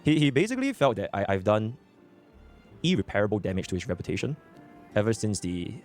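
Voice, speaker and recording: A man's voice: lowish voice, big pitch swings, a little fast, soft background music. A man explaining himself in a rambling, hesitant way, full of fillers and restarts. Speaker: content creator in a clip.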